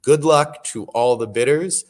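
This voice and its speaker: A man, hosting a live auction broadcast in a relaxed, wry, informative way. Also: medium pitch, unhurried. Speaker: auction show host.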